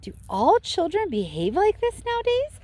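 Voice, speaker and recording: A woman voicing a disapproving, sharp-tongued old grandmother grumbling in a children's storybook. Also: extremely high pitch, wildly swooping pitch, moderate pace, voice pushed up, full character voice. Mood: disgusted. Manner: scornful, grumbling. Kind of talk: children's storybook read-aloud.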